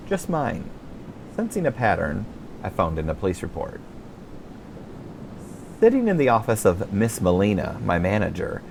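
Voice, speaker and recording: A man reading his own memoir as a scripted audiobook, telling it in a wry, expressive storyteller's manner. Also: low-pitched, creaky, slight background noise.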